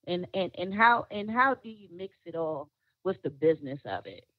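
A woman co-hosting an interview, lively and affirming, often answering with amen and praise. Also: on the low side, moderate pace, no echo, muffled recording. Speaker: co-host. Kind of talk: casual interview chat.

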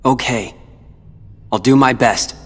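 A brooding young fighter. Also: male voice, medium pitch, slow, big-room sound. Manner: quiet and brooding, turning defiant. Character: defiant young man fighting inner darkness. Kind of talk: video game cutscene dialogue.

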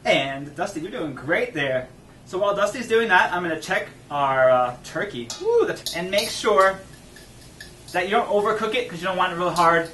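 A man's voice: sits high, very animated, normal speed, sharp tone, a little hiss or hum. A man in his thirties hosting a comedic cooking show, upbeat and agreeable, often laughing. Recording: echoey room, distant mic.

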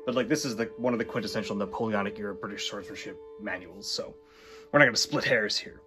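A man giving a casual spoken book review, relaxed and chatty with lots of ums and little laughs. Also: lowish voice, fast talker, over music. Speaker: book reviewer and swordsmanship instructor.

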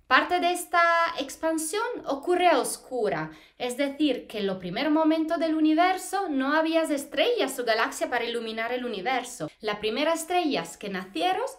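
A high, animated woman's voice with an Italian accent, explaining science to students with plenty of expression. She is a researcher in her thirties, speaking in a small plain room to a camera a few feet off. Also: normal speed, few pauses. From Italy. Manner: expressive, lively, gestures while explaining. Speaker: postdoctoral astrophysics researcher. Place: small plain indoor room against a wall. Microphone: camera a few feet away, head to waist framing.

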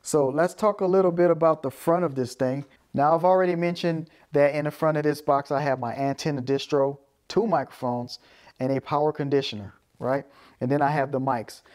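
A man's voice: on the high side, fairly even intonation, a little fast, darkish tone. Man casually explaining audio gear. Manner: explanatory, often ends points with "right?". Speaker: presenter of an audio gear video. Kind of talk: casual hands-on gear walkthrough. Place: small carpeted room indoors.

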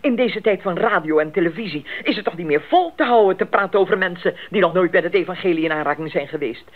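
Scolding worried mom in an old radio play, insistent, thin tinny sound. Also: female voice, lowish voice, animated, fast. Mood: exasperated, scolding. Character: disapproving, fretting mother of a grown son. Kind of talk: 1980s Dutch radio drama acting. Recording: thin band-limited old radio recording.

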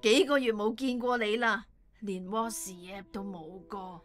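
A woman's voice: mid-range voice, measured pace, fairly bright tone, soft background music, lightly acted. Actress as caring, pleading middle-aged mother. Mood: caring, reproachful. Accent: Hong Kong Cantonese. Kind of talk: radio drama acting.

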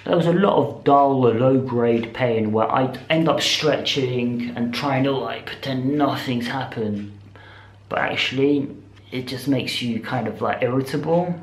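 A British man in his twenties talking casually to camera in a rambling, slangy vlog style, speaking in animated bursts. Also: mid-range voice, measured pace. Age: 20s. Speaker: vlogger. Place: small indoor room with bare walls. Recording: echoey, roomy sound.

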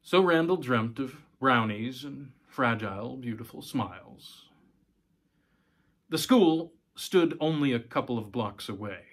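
A man's voice: medium pitch, expressive, unhurried, a bit bright. A man in his forties reading a short story aloud as scripted narration. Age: 40s. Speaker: story reader, host. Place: small room at home.

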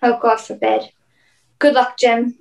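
A young actress plays a woman sea captain in a radio drama. She is stern yet caring, with a high-pitched voice. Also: level tone, measured pace, vocal fry, darkish tone, low-quality recording. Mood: resigned. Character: stern but caring female ship's captain. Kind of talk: radio play acting.

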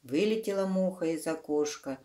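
A woman's voice: low voice, normal speed, warm tone. A woman reading a children's story aloud, explaining things simply to young listeners with fond diminutives. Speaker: children's book reader, channel host.

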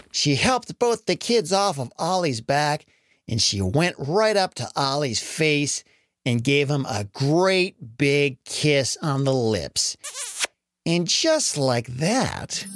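A narrator telling a children's story in an animated style with dramatic, drawn-out emphasis. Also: mid-range voice.

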